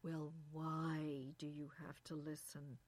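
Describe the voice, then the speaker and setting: A woman's voice: deep voice, little pitch movement, slow pace, a little mellow. A woman voicing a weary, exasperated husband in a story reading, firm and remonstrating. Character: weary, exasperated husband, reasonable but resentful.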